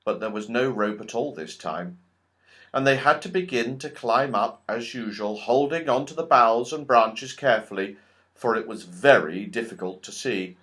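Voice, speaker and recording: A man reading a children's story as a narrator, with expressive, scripted storytelling. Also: mid-range voice, moderate pace. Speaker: audiobook reader.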